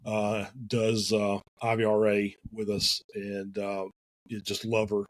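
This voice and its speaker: A man with a Southern US accent, a guest in a casual podcast interview, talking in halting phrases with many fillers and restarts. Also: fairly low pitch, monotone. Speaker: guest, sim racing team co-owner.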